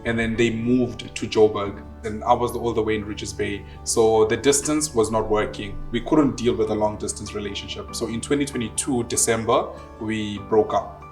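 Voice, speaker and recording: A man's voice: fairly low pitch, fairly even intonation, moderate pace, darkish tone, soft background music. A man in his twenties with a Southern African accent, casually telling his own story and acting out remembered dialogue. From Zimbabwe and South Africa. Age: 20s.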